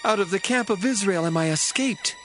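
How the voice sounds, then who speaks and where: A man's voice: high-pitched, fairly quick, a bit bright. An actor playing a young male Amalekite messenger who fled a battle, reporting in a breathless, pleading way in a dramatized scripture reading. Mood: urgent, pleading. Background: faint background music.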